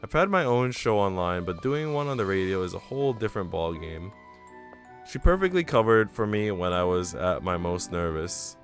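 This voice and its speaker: A man's voice: low-pitched, lively intonation, normal speed. A man reading a visual novel aloud as an earnest, reflective young woman who hosts a radio show. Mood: proud, reflective. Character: earnest, self-doubting young woman radio host in love. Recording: music behind the voice.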